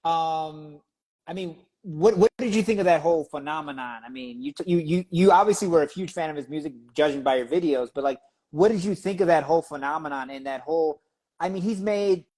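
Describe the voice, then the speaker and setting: A man's voice: fairly high pitch, a little fast, darkish tone. A man speaking informally and with slang as the interviewer in a casual chat. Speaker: interviewer, magazine editor.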